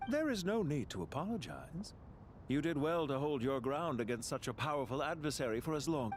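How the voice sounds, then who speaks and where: A man plays a composed enemy commander in a video game. His voice sits a little high for a man, with expressive melody and formal, condescending courtesy. Clear background noise surrounds it. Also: lightly acted. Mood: composed, gracious. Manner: formal, measured, polite. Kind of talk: dramatic video game voice acting. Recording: noisy game ambience behind voice.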